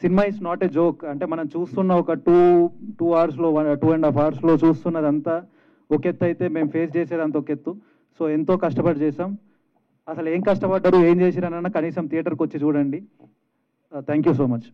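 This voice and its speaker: A man with a slightly high voice speaks informally at a film event, thanking people. He switches in and out of English, and the audio is a little dull. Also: steady tone, brisk. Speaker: film team member. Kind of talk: informal thank-you speech mixing Telugu and English. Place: film trailer launch stage.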